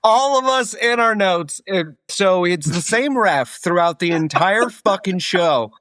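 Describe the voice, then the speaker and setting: A man chats on a podcast in a playful, teasing way with casual swearing. His voice sits a little high and moves around a lot. The recording is close and dry. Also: animated, a little slow, fairly bright tone, no room sound. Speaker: podcast co-host. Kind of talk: casual chat.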